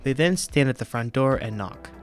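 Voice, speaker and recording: A man's voice: mid-range voice, expressive, moderate pace, soft background music. A man hosting a video review, narrating and commenting from a script. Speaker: YouTube host reviewing horror videos.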